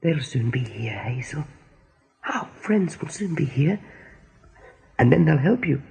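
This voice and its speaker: A fretful, tender young male rabbit in a radio drama. The voice is high, very breathy and heavily creaky, always close to pleading. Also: very animated, a little slow, a little mellow, exaggerated voice. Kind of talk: radio play acting.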